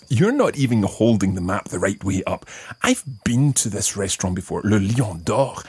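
A man's voice: fairly low pitch, very animated, moderate pace, a little mellow, husky, exaggerated voice. A man playing a stubborn but courteous husband on holiday in a radio play for learners. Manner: self-assured, courteous.

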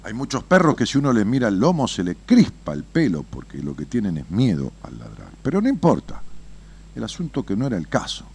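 A man's voice: fairly low pitch, huge pitch swings, brisk, vocal fry, darkish tone, husky. A man, hosting a radio show, telling a parable with dramatic whispers and swells, then chatting with a caller. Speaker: radio host.

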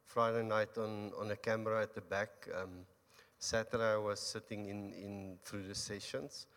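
Halting man in a reverberant hall. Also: fairly low pitch, little pitch movement. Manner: halting, many restarts, soft-spoken. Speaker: church member giving a testimony. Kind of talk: unscripted testimony in church. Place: church hall.

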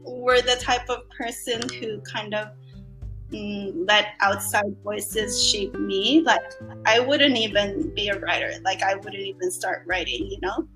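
A woman's voice: medium pitch, fairly even intonation, a little slow, sharp tone, faint music behind, low-quality recording. A woman in her thirties from the Philippines, a podcast guest talking reflectively in casual conversation with many fillers. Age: 30s.

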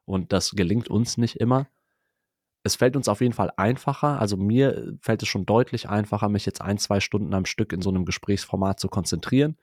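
Male podcast co-host with a head cold, lowish voice, close dry mic, loose reflective chat. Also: brisk, darkish tone, no echo. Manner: reflective, talks in loose run-on sentences. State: has a cold.

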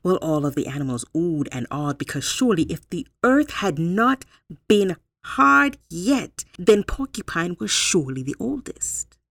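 Female folktale host: expressive intonation, slow pacing, dramatic emphasis. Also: on the low side, no echo, studio quality. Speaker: storyteller, host. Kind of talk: animated folktale storytelling.

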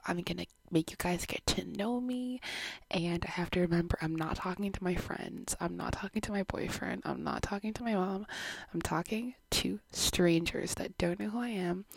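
Giggly young woman with a gravelly, husky voice. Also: fairly low pitch, no echo. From Canada. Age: 20s. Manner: chatty, giggly, self-deprecating. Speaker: podcast host. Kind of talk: casual chat. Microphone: own microphone.

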